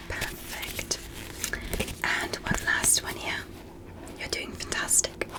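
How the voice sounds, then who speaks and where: A curious, gentle alien doctor, performed by a woman conducts a soft ASMR examination. The delivery is almost entirely a breathy whisper. The phrasing is formal and clinical, careful and full of encouragement. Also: natural voice, poor audio. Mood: gentle, encouraging. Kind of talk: ASMR medical roleplay, whispered.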